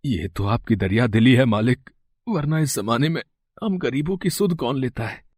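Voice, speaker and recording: A man's voice: mid-range voice, animated, normal speed, a little mellow, no room sound, clean studio sound, close to an everyday voice. A man playing a poor middle-aged village father, anxious, hesitant and deferential, in a moral story drama. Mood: humble, flustered.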